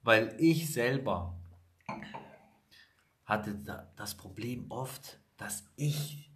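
Man, mid pitch, Italian roots, blunt and emphatic, casual chat with a friend, animated storyteller. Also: drawn-out words. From Italy. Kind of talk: casual conversation.

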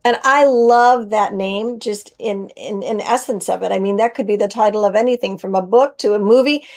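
A woman's voice: mid-range voice, moderate pace. A woman hosting an interview podcast, speaking in an enthusiastic, effusive way. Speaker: podcast host.

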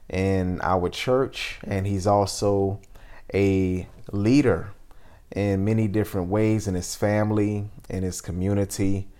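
A man in his thirties hosting a reflective interview, speaking in an earnest, deliberate way. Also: on the low side, a little mellow. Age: 30s. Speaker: podcast host.

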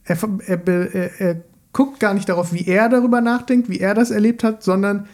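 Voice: mid-range voice, steady tone, a little fast, a little mellow. A podcast co-host in a casual film discussion, explaining eagerly and often repeating words while working out a thought.

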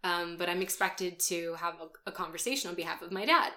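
Woman, 20s, bright tone, casual podcast chat, chatty, lots of fillers. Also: on the low side, fairly quick. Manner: chatty storyteller, many fillers and laughs. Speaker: podcast co-host.

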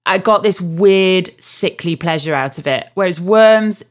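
A woman's voice: lowish voice, unhurried. Frank, chatty woman. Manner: frank, chatty, self-mocking. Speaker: podcast co-host. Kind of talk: casual chat.

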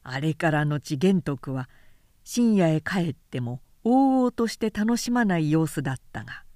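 A woman's voice: low-pitched, expressive, measured pace, mellow, dry recording, clean studio sound. A woman narrating a literary audiobook in a composed, dramatic storytelling manner.

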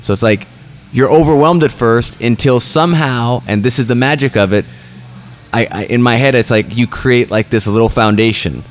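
A man's voice: medium pitch, moderate pace. A man in casual conversation, rambling and often restarting his sentences. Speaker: guest.

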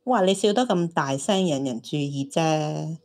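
A woman's voice: low voice, lively intonation, a little slow, a little mellow. An actress playing a hopeful, romantic single woman in her thirties in an audio drama, teasing and exclaiming. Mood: teasing, nosy. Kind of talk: Cantonese audio drama acting.

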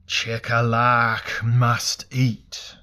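A man's voice: medium pitch, monotone, very slow, bright, forceful tone. A man voicing a huge, ancient burning god in a fantasy story, hungry and imperious, with solemn archaic proclamations. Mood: hungry, menacing. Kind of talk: dramatic fantasy audiobook character voice.

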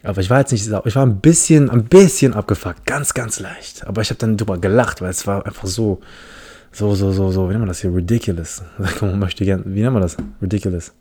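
A man's voice: lowish voice, a little fast, dark tone, breathy edge. A man from the Philippines hosting a casual solo podcast, telling a story in a rambling, self-mocking way with many restarts. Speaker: podcast host.

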